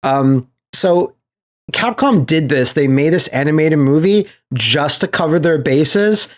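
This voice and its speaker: Higher-pitched man chatting, sweary and animated. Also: a little slow. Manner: sweary, laughs while telling stories. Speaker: podcast host. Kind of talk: casual chat, animated storytelling.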